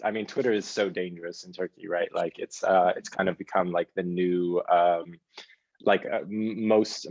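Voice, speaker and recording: A man with a very deep, flat voice, speaking over a dry, slightly dull video-call line. He pauses and searches for words, talking thoughtfully. The tone is casual, as in a panel discussion. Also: no echo.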